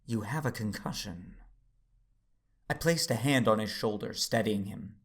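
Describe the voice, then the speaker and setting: An actor playing a steady, weary Jedi father who narrates his own story in an earnest, measured audio drama style. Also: fairly low pitch. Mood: concerned, grave. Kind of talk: first-person dramatic narration and acting.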